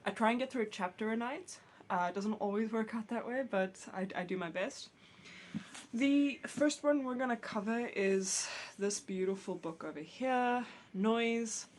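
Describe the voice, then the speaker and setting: A woman's voice: on the high side, normal speed, slight background noise. A woman vlogger talking casually to camera about books, a bit phlegmy from a cold, candid and chatty with restarts. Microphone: camera mic, reading with books on her lap.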